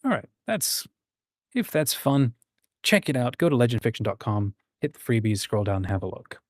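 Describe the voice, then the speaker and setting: A man with a mid-pitched voice chats casually as the host of a livestream. His manner is informal and encouraging, and the sound is close and dry. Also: big pitch swings, normal speed, no echo. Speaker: host of a writing community livestream.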